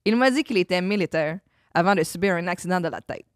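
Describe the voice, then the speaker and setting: A woman's voice: on the low side, fast, no echo, clean studio sound. A woman, co-hosting a podcast and reading a letter aloud, amused and laughing between lines. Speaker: podcast co-host. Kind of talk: casual chat, reading aloud.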